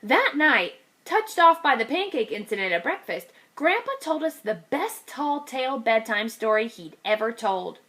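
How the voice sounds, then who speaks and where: A woman teacher reading a picture book aloud to young children, with a lively, expressive storytelling delivery. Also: fairly high pitch, moderate pace, hardly any pauses. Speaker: kindergarten teacher.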